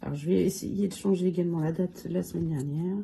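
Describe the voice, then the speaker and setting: A hoarse woman with a cold chats casually about her budget, counting amounts out loud and filling pauses as she goes. Her voice is gravelly and dark. A faint room ambience hums behind her. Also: fairly low pitch, fairly even intonation, a little hiss or hum. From France. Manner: counts money aloud, many fillers. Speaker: budget vlogger. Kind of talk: casual budget review talk.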